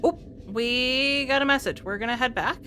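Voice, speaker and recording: A woman's voice: high voice, normal speed, bright, forceful tone, a little hiss or hum. A woman streaming a game who chats to her viewers and thinks aloud as she plays. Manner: chatty, talks to chat and to herself. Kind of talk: casual live game commentary. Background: game music.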